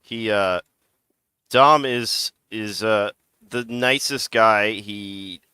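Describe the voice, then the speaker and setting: A man on a podcast panel chats casually, stumbling and restarting his sentences often. His voice is fairly low yet bright and smooth, and his mic sounds dry and close. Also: extremely slow, dry recording.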